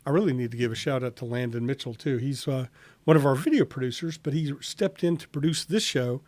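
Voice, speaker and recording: A man's voice: medium pitch, a little fast, a little mellow, dry recording. A man talking casually in a studio conversation, as a writer and public broadcaster introducing a radio drama.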